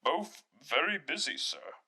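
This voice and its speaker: A man voicing a polite, earnest, portly gentleman collecting for charity in a classic radio drama, formal and solemn. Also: a little high, huge pitch swings, a little slow, creaky, slightly rough, voice pushed up, heavily acted.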